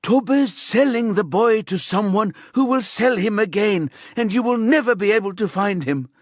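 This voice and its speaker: A man voicing a desperate, hostile teenage girl in an audiobook thriller, hoarse and breathless, prone to swearing outbursts. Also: sits high, animated, normal speed, no echo, studio quality, voice pushed up. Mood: panicked.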